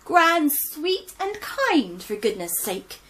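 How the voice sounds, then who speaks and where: Woman voicing loud, cheeky little piglets. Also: sits high, sing-song, slow pace, voice pushed up, exaggerated voice. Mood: scolding. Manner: loud, bossy and mocking. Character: cheeky young piglets, suspicious and bold.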